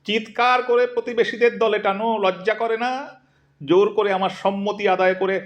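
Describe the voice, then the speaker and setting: A man's voice: sits high, fairly even intonation, normal speed, dull audio, natural voice. A man playing an exasperated, sarcastic middle-aged husband in an audio drama, bickering in an argumentative, emphatic, theatrical way. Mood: bitter, scolding. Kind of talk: Bengali audio drama acting.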